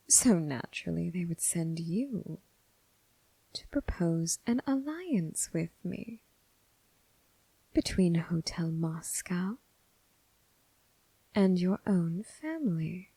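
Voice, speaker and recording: A dangerous, seductive lady mob boss voiced by a woman murmurs close and dry into the mic. Her voice is dark and husky, often sinking toward a whisper. She teases with formal, deliberate phrasing, predatory and coolly amused, in an intimate ASMR roleplay. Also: on the low side, no echo, heavily acted, low-quality recording. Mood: teasing, wary. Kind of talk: ASMR roleplay, scripted character acting.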